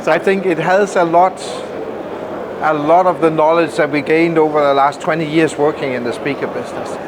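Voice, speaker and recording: Higher-pitched man explaining over loud noise. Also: fairly even intonation, fairly quick. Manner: explanatory, emphatic, step-by-step. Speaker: loudspeaker maker, interview guest. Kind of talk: technical product explanation in interview. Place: indoor audio trade show room. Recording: loud trade-show background noise.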